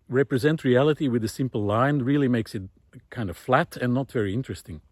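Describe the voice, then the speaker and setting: A middle-aged man thinking aloud in an informal, reflective monologue, often pausing mid-phrase as he searches for words. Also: mid-range voice, darkish tone. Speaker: art teacher giving a recorded monologue. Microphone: close recorder carried while walking.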